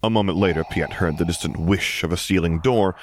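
A man reading a story aloud as an expressive audiobook narrator. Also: low-pitched, a little fast, slight background noise.

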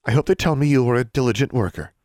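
A man's voice: mid-range voice, very fast, a little mellow, dry recording, clean studio sound, voice pushed up, lightly acted. A man voicing a prim, politely firm woman librarian in a storybook reading, giving instructions.

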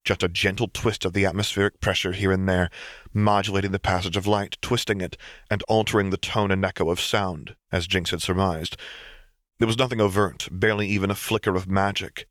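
A man with a low voice narrates a story in a dramatic, scripted audiobook style. The recording is close and dry, with no room sound. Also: fairly even intonation, a little fast, a bit bright, no room sound.

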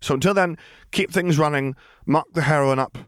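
Audiobook role: brash young female witch crime boss, played by a man, pitched well up, glib, wry and taunting. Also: normal speed, no echo, clean studio sound, natural voice. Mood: brisk, commanding. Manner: glib, mock-polite, theatrical taunting. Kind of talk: acted dialogue in fan fiction audiobook.

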